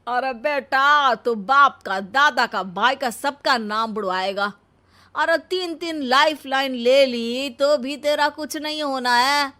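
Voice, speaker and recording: A woman's voice: sits high, pushed and bright, exaggerated voice. A woman voicing a mocking older relative who scolds sarcastically, drawing words out in a story. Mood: scornful. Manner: drawn-out, taunting delivery.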